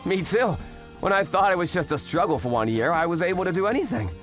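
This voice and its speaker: Male actor as earnest young man, highish voice, very expressive, manga dub storytelling, background music. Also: fast talker. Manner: animated, expressive, swings between narration and exclamation. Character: earnest young male office worker telling his own story. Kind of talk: manga dub narration and dialogue.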